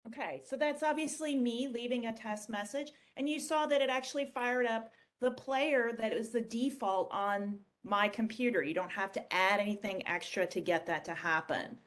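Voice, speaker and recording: A woman giving a casual software demonstration in a conversational, explanatory way. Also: a little high, normal speed, hardly any pauses. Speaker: software demo presenter. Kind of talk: casual screen-share product demonstration.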